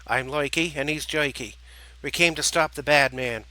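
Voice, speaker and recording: A protective, no-nonsense turkey leader from a storybook, done by a male narrator. He speaks bluntly, with a New Jersey accent and a sharp, forceful edge. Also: a little high, moderate pace. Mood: matter-of-fact. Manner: blunt, grim.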